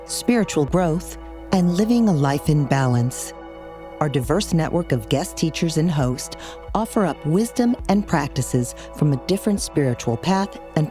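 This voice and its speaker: A woman's voice: low-pitched, warm tone. A woman reading a scripted podcast introduction as its announcer. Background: music.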